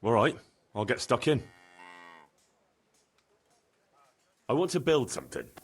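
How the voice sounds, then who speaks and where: A medieval bailiff in a game cutscene. He has a mid-pitched voice with lively inflection, plain-spoken and authoritative. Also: fast, breathy edge, heavily acted. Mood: practical. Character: young-hearted medieval bailiff, practical, firm, fair. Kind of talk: video game cutscene acting.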